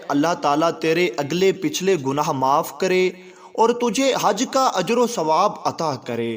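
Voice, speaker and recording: A man voicing a destitute, devout old widowed mother in a story, with plaintive, formal and pious phrasing. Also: high-pitched. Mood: grateful, blessing.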